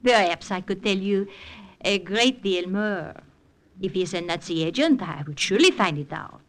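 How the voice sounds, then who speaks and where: An actress playing an alluring, teasing Frenchwoman with a French accent and a measured, gently mocking way of talking, in 1940s-50s radio drama style. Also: mid-range voice, animated, lightly acted. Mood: coy, teasing.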